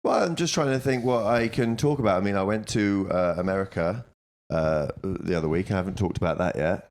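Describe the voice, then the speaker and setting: A young man co-hosting a podcast, talking loudly and telling stories in casual chat with plenty of swearing. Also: low-pitched, brisk. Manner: loud, lively storytelling with swearing. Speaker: podcast co-host.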